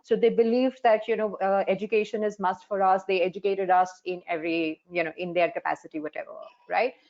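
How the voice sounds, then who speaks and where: A woman's voice: medium pitch, fairly even intonation, a little fast, a little mellow. Woman in her 40s, Hindi native speaker from India, earnest life coach on a muffled video call. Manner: earnest, frank storytelling with many fillers. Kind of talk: webinar panel talk.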